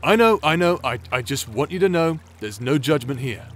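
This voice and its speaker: A man's voice: on the high side, animated, moderate pace, fairly bright tone, slightly rough, soft background music. A man playing a cocky, lewd, swooping-voiced swordsman. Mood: teasing. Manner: brash, crude, teasing. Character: cocky, lewd, battle-crazed swordsman bastard, swaggering and flirtatious. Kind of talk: anime-style audio roleplay acting.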